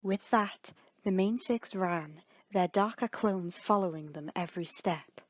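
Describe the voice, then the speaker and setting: A woman's voice: fairly low pitch, unhurried, soft background music. A woman narrator reading a scripted story in a dramatic storytelling style.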